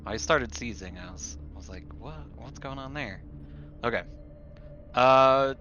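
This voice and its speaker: A man's voice: mid-range voice, measured pace, pushed and bright, lo-fi sound, a little hiss or hum. A man playing a tabletop roleplaying game, talking casually and hesitantly as he thinks aloud. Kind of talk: casual chat while roleplaying. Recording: music behind the voice.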